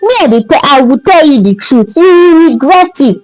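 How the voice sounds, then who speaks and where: Lady doing a fed up nigerian guy telling off his buddy, high pitched, super animated, storytime. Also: moderate pace, no room sound, low-quality recording. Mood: earnest warning. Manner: emphatic scolding with rhetorical questions and interjections. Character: exasperated young Nigerian man scolding his stubborn friend.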